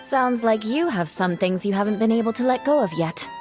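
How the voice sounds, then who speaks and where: A woman voicing a confident, proud young noblewoman in a video game, composed, measured and self-assured. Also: on the high side, expressive, a little mellow, soft background music, natural voice. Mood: reassuring. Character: confident young noblewoman dancer, proud, sharp-tongued yet kind.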